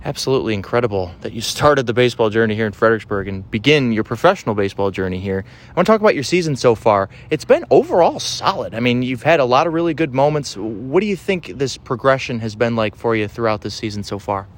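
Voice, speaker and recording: A man's voice: medium pitch, expressive, fast talker. A man hosting a baseball pregame show, reading intros and asking questions in an upbeat, scripted announcer style. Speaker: radio host.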